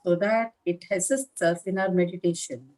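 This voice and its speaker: A woman leading a guided meditation as a teacher, speaking in a calm, measured manner with long pauses between short phrases. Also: on the low side. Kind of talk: guided meditation instruction, live session.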